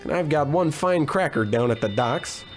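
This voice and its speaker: A man's voice: fairly high pitch, expressive, normal speed, soft background music, natural voice. A man playing a self-important hard-boiled private detective. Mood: brooding narration. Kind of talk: comic 1940s radio noir narration and dialogue.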